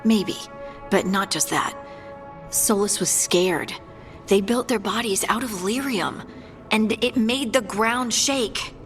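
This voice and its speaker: Hurt, resolute game heroine. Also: female voice, medium pitch, slow, a bit bright, soft background music. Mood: somber, uneasy. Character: aggrieved dwarf woman, Lace, hurt and resolute. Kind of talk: video game cutscene voice acting.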